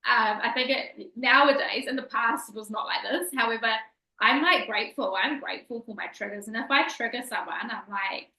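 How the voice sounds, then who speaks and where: A woman's voice: fairly high pitch, fairly quick, bright, forceful tone. A woman podcast host and coach, talking earnestly and emphatically about spiritual growth. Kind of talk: casual interview chat. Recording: echoey room.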